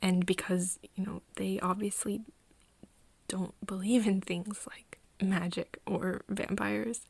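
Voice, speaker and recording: A woman acting an earnest, wistful friend in an intimate ASMR roleplay, speaking softly and haltingly, often near a whisper. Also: medium pitch, flat delivery, no echo, heavily acted. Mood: reflective, resigned. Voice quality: soft, breathy, often whispery. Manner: hesitant, halting, pausing often.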